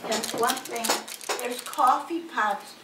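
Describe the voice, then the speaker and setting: An older woman chatting casually in her apartment, halting and restarting as she talks. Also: on the high side, background noise. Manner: halting, repeats words, restarts often. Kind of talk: casual chat. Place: senior apartment living room. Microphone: far camera. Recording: echoey room, far from camera.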